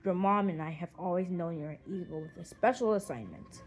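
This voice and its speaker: A woman voicing a wise, encouraging father eagle in a measured, reassuring way for a children's audiobook. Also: on the low side, slight background noise, pitched below the performer's own voice, lightly acted. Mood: calm encouraging. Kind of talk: audiobook character voice.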